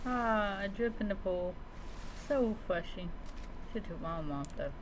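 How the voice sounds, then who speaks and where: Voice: mid-range voice, lively intonation, unhurried, noisy background. A game streamer giving casual solo commentary in character, talking to themselves with playful, mock-heroic archaic phrasing. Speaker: streamer playing a roleplay survival game.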